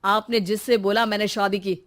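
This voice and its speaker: A woman's voice: mid-range voice, fairly even intonation, normal speed, lightly acted. A woman voicing a protective young married son in a cartoon story, earnest and protesting. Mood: protesting, pleading. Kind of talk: cartoon moral-story acting.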